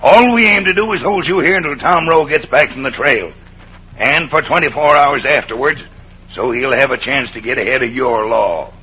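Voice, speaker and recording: An actor playing a stern, stubborn cowboy camp cook in an old western radio drama, speaking in a plain, firm drawl with a husky voice. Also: male voice, mid-range voice, moderate pace, lightly acted. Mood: firm, warning. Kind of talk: 1940s-50s western radio acting. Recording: dull old radio recording.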